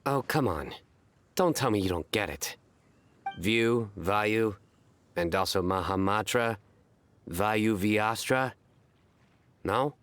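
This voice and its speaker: A man voicing a stern young general with a deadpan, formal, measured manner in a video game. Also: fairly low pitch, a little hiss or hum. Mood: deadpan insistent. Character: stern, deadpan young male general who tells puns.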